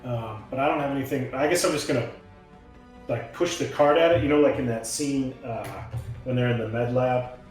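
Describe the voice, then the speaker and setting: A man's voice: medium pitch, fairly quick. A man chatting casually as a player in a tabletop roleplaying game, hesitant and thinking aloud. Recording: very echoey room, music behind.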